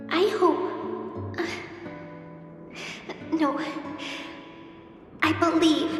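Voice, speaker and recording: Very high-voiced, earnest little girl. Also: a bit bright, full character voice. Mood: hopeful, earnest. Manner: earnest, wistful, childlike. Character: hopeful sick little girl dreaming of becoming a champion fighter. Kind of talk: video game voice acting, reading a heartfelt letter. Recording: echoey hall with long reverb.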